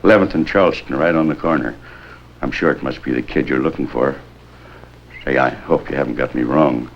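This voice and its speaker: Shifty american hotel clerk, really deep voice, hedges and butters you up, old cop show, dull sound. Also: male voice, fairly quick. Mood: informative. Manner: hedging, roundabout, ingratiating. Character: wheedling, money-minded hotel desk clerk informant. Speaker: hotel desk clerk character. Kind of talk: 1950s American police drama acting. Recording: dull, noisy 1950s film soundtrack.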